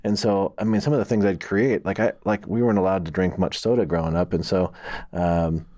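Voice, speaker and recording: Animated low-voiced man in his thirties. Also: a little fast. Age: 30s. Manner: animated, laughs easily, jumps up in pitch. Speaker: podcast host, counselor. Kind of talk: casual conversational podcast.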